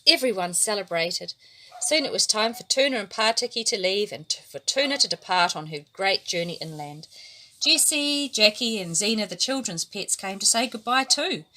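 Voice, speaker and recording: A woman reads a children's story aloud with a bright, clear tone. She tells it gently, raising her voice when the characters speak, in an unhurried storybook manner. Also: medium pitch. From New Zealand. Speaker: storybook reader.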